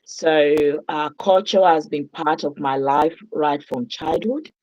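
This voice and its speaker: A Yoruba-speaking woman with a Nigerian accent answers interview questions in a calm, reflective way. Her voice sits low and she speaks slowly. The audio comes through slightly dull. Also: no room sound. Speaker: guest.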